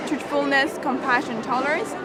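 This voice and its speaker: A woman's voice: high voice, steady tone, normal speed. A woman from Iran speaking casually and thoughtfully in a street interview, with fillers. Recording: outdoor crowd with loud music.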